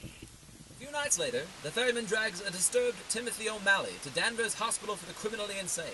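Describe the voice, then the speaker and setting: A woman's voice: medium pitch, lively intonation, fast, background noise. A woman narrating a scripted radio drama in a dramatic storytelling style.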